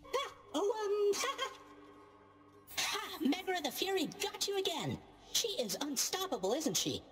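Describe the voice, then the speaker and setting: A woman voicing a giddy, gossipy underworld creature in a video game, giggly and halting in a singsong way. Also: very high-pitched, big pitch swings, fairly bright tone, heavily acted. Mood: giddy, teasing. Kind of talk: video game character acting. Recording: echoing hall reverb over music.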